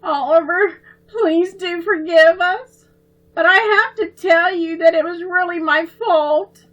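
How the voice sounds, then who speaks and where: Storybook little girl, high, pleading, near tears, read by a woman, bright tone. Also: slow pace, dull audio, full character voice.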